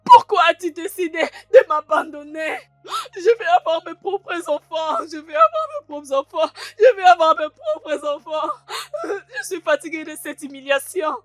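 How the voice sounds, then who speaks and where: An actress playing a desperate childless woman who tearfully pleads with God, wailing and repeating herself in drawn-out cries. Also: very high voice, expressive, dry recording. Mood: tearful despair. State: crying, wailing.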